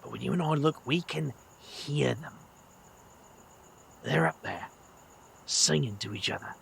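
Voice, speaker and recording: An actor playing a weary, kindly middle-aged British magician with a gravelly voice and a slangy, wry way of talking in an audio drama. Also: male voice, fairly high pitch, measured pace, a bit bright, a little hiss or hum, heavily acted. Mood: fond, reminiscing.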